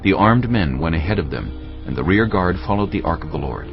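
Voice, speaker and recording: Male narrator, gravelly, lively intonation, scripted audio Bible reading, music underneath, slightly dull recording. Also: sits low, brisk.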